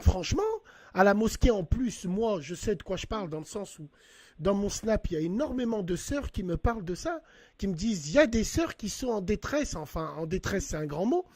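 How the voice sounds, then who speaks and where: Animated high-voiced man giving advice. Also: dry recording. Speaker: host. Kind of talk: casual debate over a phone call.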